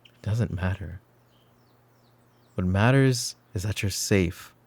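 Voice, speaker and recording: Slow, low-voiced mafia boss played by a man. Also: darkish tone, no echo, exaggerated voice. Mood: firm, reassuring. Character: brooding male mafia boss, calm, protective, teasing. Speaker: voice actor. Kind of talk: roleplay ASMR audio drama.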